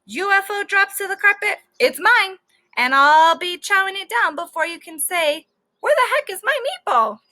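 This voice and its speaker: A woman's voice: very high-pitched, expressive, normal speed, pushed and bright, voice pushed up, full character voice. A woman voicing a cocky, stubborn stray dog who tells his story in sassy, colloquial children's storybook style. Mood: indignant. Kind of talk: children's book read-aloud.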